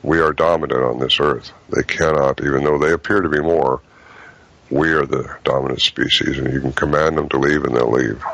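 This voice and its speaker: A man's voice: extremely low pitch, fairly even intonation, fairly quick, creaky, fairly bright tone. A man speaking as a guest on a show, giving an earnest warning.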